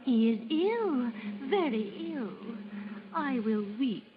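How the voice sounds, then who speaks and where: A woman's voice: high-pitched, sing-song, slow, phone-quality sound, full character voice. An actress playing a gaunt, eerie serving woman, coaxing and sinister, in vintage radio horror style. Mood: mock-mournful. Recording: thin, noisy old radio recording.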